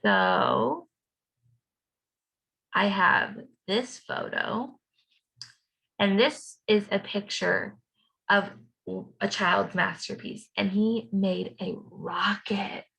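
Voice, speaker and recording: A woman speaking to children as a teacher, explaining a craft activity in an animated, simple way. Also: mid-range voice, drawn-out words, vocal fry, long pauses, breathy edge, low-quality recording. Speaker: teacher reading aloud and leading a children's activity. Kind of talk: children's storybook read-aloud and craft instruction.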